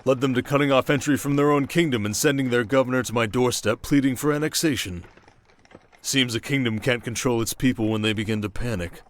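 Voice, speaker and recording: A man's voice: mid-range voice, brisk, lightly acted. Man acting a wry, confident king. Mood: wry, amused. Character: confident, shrewd young king, teasing and protective. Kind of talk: ASMR roleplay audio drama.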